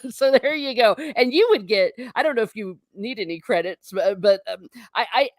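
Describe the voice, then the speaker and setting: A woman's voice: medium pitch, moderate pace, no room sound. A woman hosting a casual conversation, a teacher who talks in a chatty way and often laughs mid-sentence. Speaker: podcast host.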